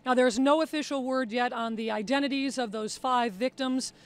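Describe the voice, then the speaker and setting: A woman's voice: high-pitched, moderate pace. A woman with an American accent giving a live local television news report from the field. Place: outdoors at a rural scene.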